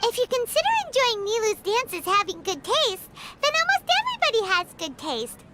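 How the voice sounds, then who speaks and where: A woman's voice: very animated, moderate pace, heavy background noise. A woman voicing a tiny, chirpy fairy companion girl who talks about herself by name, in a video game. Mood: cheerful. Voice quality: high, squeaky. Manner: bubbly, refers to herself in the third person. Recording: game audio with loud music.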